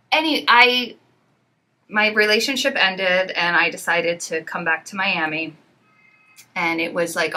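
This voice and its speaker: A woman yoga teacher chats as a podcast guest, rambling through stories with plenty of fillers. Her voice sits a little low but rings very bright and forward. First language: English. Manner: chatty, rambling storyteller full of fillers. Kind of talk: casual podcast conversation.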